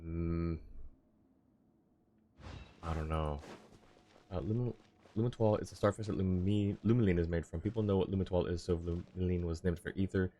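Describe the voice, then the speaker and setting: Low-voiced man casually streaming a game. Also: steady tone, moderate pace, pauses often, dark tone, slight background noise. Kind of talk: casual live commentary on a game. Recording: game music clearly behind the voice. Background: video game music.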